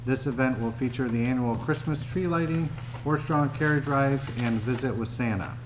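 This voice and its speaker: A man gives the city manager's report, reading notices in a steady, formal voice. His pitch sits in the middle range. Faint noise from the room is heard. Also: normal speed. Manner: steady, formal, reads from notes. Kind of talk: reading prepared announcements. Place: city commission chamber.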